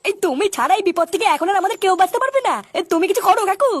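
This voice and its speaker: Woman doing an extremely high, excitable cartoon boy. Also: very fast, no room sound. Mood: worried, pleading. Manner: slangy, cursing, urgent. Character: brash, foul-mouthed, excitable cartoon boy.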